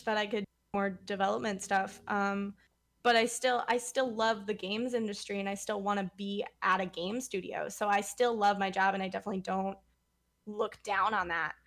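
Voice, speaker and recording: A woman's voice: medium pitch, fairly even intonation, moderate pace, a bit bright. A woman guest on a streaming talk show, chatting casually and rambling with many fillers. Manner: rambling, many likes and ums. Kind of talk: casual podcast chat.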